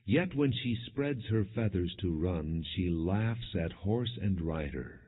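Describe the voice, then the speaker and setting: A man reading scripture as an audiobook narrator in a solemn, measured, declamatory manner. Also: low voice, expressive, husky. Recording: muffled, narrow phone-like sound.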